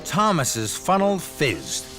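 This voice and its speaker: A male narrator reads a children's story with deliberate, expressive timing. His voice is fairly high and lively in intonation, with music clearly audible underneath.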